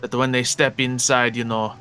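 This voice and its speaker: A man's voice: mid-range voice, little pitch movement, moderate pace, pushed and bright, natural voice. A man playing a pedantic, lecturing wizard's mentor in an improvised video game roleplay. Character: pedantic adult male know-it-all, mentor to young wizard. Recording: background music under the voice.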